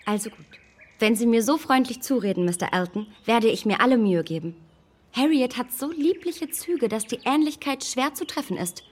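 A young gentlewoman speaks with composed self-assurance in a period radio drama. She is polite and shrewd, with a dry edge of mockery. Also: on the high side, lively intonation, normal speed. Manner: polite aloud, drily mocking in private.

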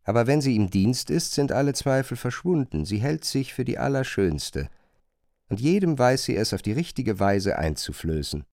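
A man narrating a literary radio play, retelling a chambermaid's grievances in an expressive, emphatic way. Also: mid-range voice, moderate pace. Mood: engaged, aggrieved.

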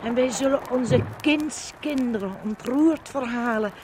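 An actress playing an older Dutch wife who recalls liberation solemnly and theatrically in a 1950s radio docudrama. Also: female voice, on the high side, unhurried, natural voice. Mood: moved, solemn. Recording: noisy vintage 1950 radio recording.

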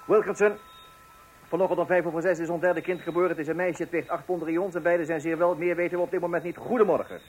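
An actor playing a flustered, excited father in a radio play, stammering and repeating himself as he juggles household and telephone. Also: a little high, quick pace, lightly acted. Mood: brisk, informing. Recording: noisy old radio play recording.

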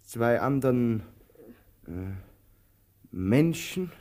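An actor playing a weary, anxious male tramp in a slow theatrical radio drama, musing haltingly with long pauses and sudden urgency. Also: mid-range voice, lively intonation, darkish tone. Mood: probing, insistent.

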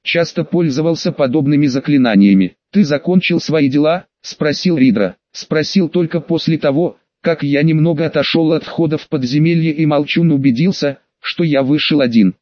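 Higher-pitched male audiobook narrator, dry sound. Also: normal speed, few pauses, darkish tone, no room sound, dull audio. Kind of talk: scripted fantasy story narration.

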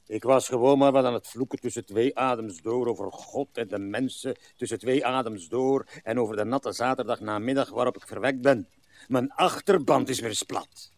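An actor playing a doleful, courtly old man in a radio drama, speaking in a plaintive, halting, resigned way. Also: medium pitch, vocal fry, lightly acted. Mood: grumbling. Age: older.

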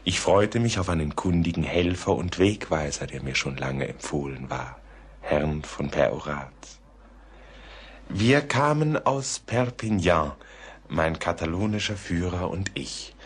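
A deep, brooding husky writer. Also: male voice, animated, exaggerated voice. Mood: earnest recollection. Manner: brooding, deliberate, literary. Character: reflective, melancholy male writer recounting a mystery. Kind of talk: dramatic radio play narration.

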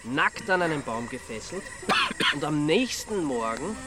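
A man's voice: on the high side, very animated, measured pace, heavy background noise. Grumpy Austrian man, dry and weary. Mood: grim, musing. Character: grumpy, weary Austrian dandy on holiday, reluctant sleuth. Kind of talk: radio drama acting.

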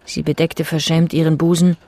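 A woman narrating a radio crime drama in a measured, even storytelling manner. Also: low voice. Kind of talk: scripted narration.

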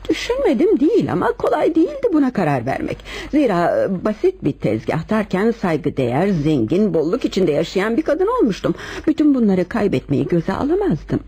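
A woman acting a composed, lovelorn wife confessing to murder in a Turkish radio play, with measured dramatic delivery. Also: medium pitch, huge pitch swings, warm tone, breathy edge, heavily acted. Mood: confiding.

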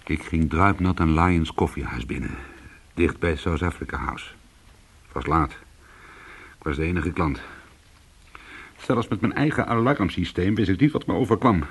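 An actor playing a weary, hard-boiled former cat burglar, telling his story in an intimate, brooding and deliberate way in a radio play. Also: low voice, animated, creaky, breathy edge, heavily acted. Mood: weary, reflective.

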